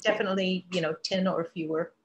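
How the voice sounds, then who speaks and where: A woman's voice: lowish voice, fairly even intonation, quick pace, a little hiss or hum. Articulate woman moderator on a call. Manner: articulate, fluent, lightly emphatic. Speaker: moderator and translator. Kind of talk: video call panel discussion. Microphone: video call.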